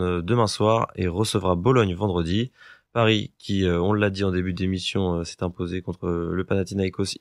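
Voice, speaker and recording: A young man presenting a student radio sports column, briskly listing upcoming fixtures and kickoff times. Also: on the low side, no echo, studio quality. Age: young adult. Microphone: studio microphone.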